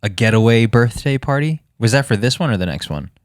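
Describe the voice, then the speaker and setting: A man's voice: mid-range voice, expressive, brisk, no echo, studio quality. A man co-hosting a podcast, chatting casually, teasing his friends and steering the conversation. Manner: teasing, joking, steers the talk. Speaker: podcast co-host. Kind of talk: casual chat.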